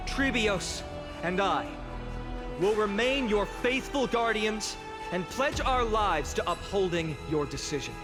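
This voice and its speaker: An actor playing an earnest young demigod guardian who gives rousing, eloquent speeches in a dramatic game style. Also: male voice, sits high. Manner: impassioned, eloquent, deliberate rhetoric. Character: earnest young male demigod orator, impassioned guardian of his city.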